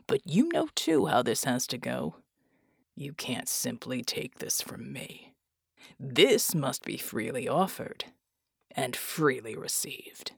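A woman voicing a world-weary male mercenary who talks bluntly in a low, gravelly drawl. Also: no room sound, clean studio sound, exaggerated voice.

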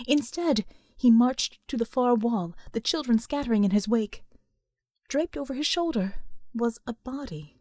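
A woman reading scripted fiction as an audiobook narrator, with measured, suspenseful, dramatic delivery. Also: fairly high pitch, dark tone, no echo, studio quality.

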